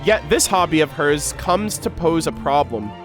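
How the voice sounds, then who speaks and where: A man's voice: on the high side, expressive, faint music behind. A man reading game lore aloud and breaking into casual, slangy commentary as a video creator. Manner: informal slangy asides between measured reading.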